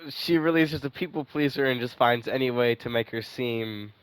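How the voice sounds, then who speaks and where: A man's voice: medium pitch, brisk, clear, resonant tone, rough recording. A man giving casual reaction commentary as he plays a video game. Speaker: gaming streamer.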